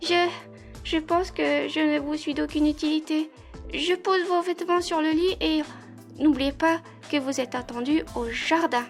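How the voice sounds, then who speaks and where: A woman performs a young maid from a roleplay audio drama, with a very high voice. She is eager and formally polite, yet easily flustered. Her speech hesitates and stumbles into apologies, over faint music. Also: steady tone, a little slow. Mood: flustered, embarrassed.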